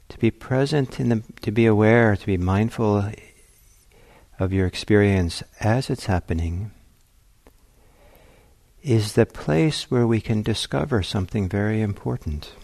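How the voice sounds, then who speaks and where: A man teaching meditation in a gentle, reflective way, pausing often as he searches for phrasing. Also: fairly low pitch, no room sound. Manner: gentle, hesitant, reflective phrasing with long pauses. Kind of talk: guided meditation talk.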